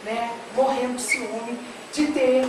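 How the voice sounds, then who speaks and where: A woman's voice: a little high, fairly even intonation, slow, noisy background. A middle-aged woman from Rio de Janeiro with a Carioca accent touched by São Paulo, giving an earnest, filler-laden speech at a public forum, growing more emphatic as she goes. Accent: Carioca Portuguese, mixed with São Paulo. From Rio de Janeiro, Brazil. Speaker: education official speaking on a panel. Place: large hall at a public forum. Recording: echoey hall, speaker far from mic.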